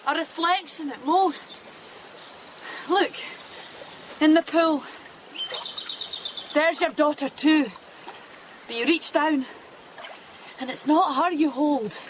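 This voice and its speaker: Orphan alien in a daughter's body, high voice, earnest questions, radio drama, bit of outdoor noise. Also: female voice, slow, long pauses. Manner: earnest, searching, formal phrasing.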